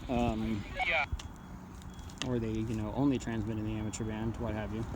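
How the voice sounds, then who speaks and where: A man's voice: fairly low pitch, flat delivery, normal speed, very noisy. A man talking casually and off the cuff as he demonstrates radio gear, rambling but blunt and matter-of-fact. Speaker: amateur radio hobbyist reviewing gear. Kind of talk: casual unscripted product demonstration.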